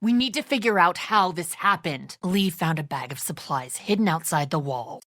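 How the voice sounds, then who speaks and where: A woman's voice: lowish voice, expressive, moderate pace, fairly bright tone, no echo, clean studio sound. An actress playing a tense, suspicious woman survivor of a zombie apocalypse in a video game, blunt and accusatory in manner. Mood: urgent, accusing. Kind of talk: dramatic video game acting.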